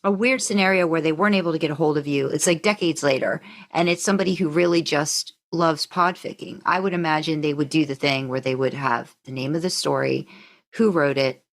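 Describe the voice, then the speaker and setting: A woman's voice: low-pitched, fairly even intonation, fairly quick. A woman in casual podcast conversation, explaining things in a tentative, circling way. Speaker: podcast participant. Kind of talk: casual chat.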